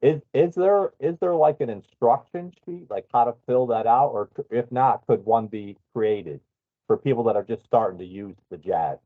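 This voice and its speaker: A man's voice: mid-range voice, normal speed. A man taking part in a work meeting, speaking casually to colleagues. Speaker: meeting participant. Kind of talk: casual spoken request to colleagues. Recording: thin, phone-like call line.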